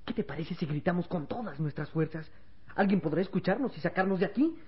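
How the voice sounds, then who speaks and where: A man playing an anxious, wry young boy companion in a vintage radio serial, with a husky, pleading voice. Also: high voice, expressive, quick pace. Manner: worried, pleading, questioning. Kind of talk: vintage Spanish-language radio serial acting. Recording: dull, lo-fi old radio serial recording.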